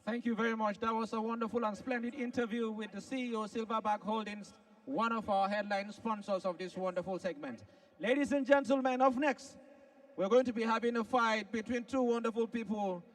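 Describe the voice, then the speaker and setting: Male fight announcer, very high voice, formal and drawn out, distant mic. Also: steady tone, brisk. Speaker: event announcer. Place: arena. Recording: distant mic at a live event.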